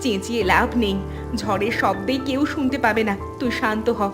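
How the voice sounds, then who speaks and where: A woman's voice: a little high, brisk, music in the background, lightly acted. A woman playing a protective elder sister-in-law in a cartoon melodrama, firm and reassuring. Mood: firm, urgent.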